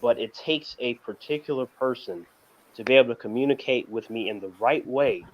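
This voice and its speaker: A man's voice: mid-range voice, a little fast, rough recording. A man talking casually as a panelist on a review show, emphatic and repeating words as he reasons. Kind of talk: casual discussion.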